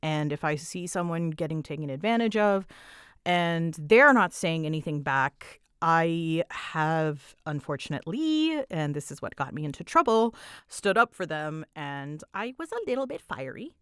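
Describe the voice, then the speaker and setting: A woman's voice: low-pitched, moderate pace, clean tone, dry recording, clean studio sound. A woman host rambling casually to her audience, with a bouncy, dramatic, giggly and self-deprecating way of talking. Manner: animated, bouncy, dramatic storyteller delivery. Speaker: voice actress and host answering audience questions.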